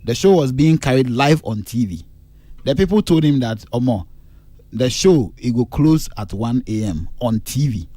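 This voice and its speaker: A man's voice: mid-range voice, expressive, moderate pace, dark tone, no echo. A man with a Ghanaian accent arguing emphatically on a radio entertainment panel, mixing languages. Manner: emphatic, argumentative, switches languages. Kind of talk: animated radio panel discussion. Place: radio studio.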